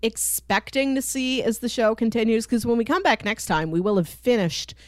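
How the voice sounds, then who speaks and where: A woman co-hosting a podcast in casual chat, telling stories in an animated way and acting out quoted dialogue. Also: a little high, normal speed, no echo, studio quality. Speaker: podcast co-host. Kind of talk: casual chat about a TV cartoon.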